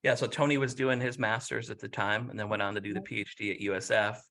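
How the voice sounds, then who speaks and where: A man's voice: fairly low pitch, fairly even intonation, fast talker, gravelly, fairly bright tone. A man interviewing a fellow scholar, asking questions conversationally and responding with affirming, enthusiastic asides. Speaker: interviewer, professor.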